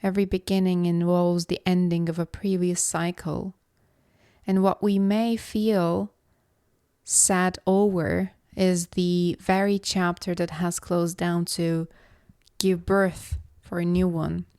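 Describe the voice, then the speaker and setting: A woman from Istanbul, hosting a reflective solo podcast as a philosophy coach, speaking in a slow, contemplative, measured way. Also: lowish voice, flat delivery, mellow, clear, resonant tone, dry recording, clean studio sound. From Istanbul, Turkey.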